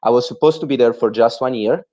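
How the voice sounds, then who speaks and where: A man's voice: mid-range voice, fast, mellow. A young man from Italy with an Italian accent, presenting a webinar talk with frequent "uh" fillers. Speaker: guest speaker. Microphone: video call. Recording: muffled online call audio.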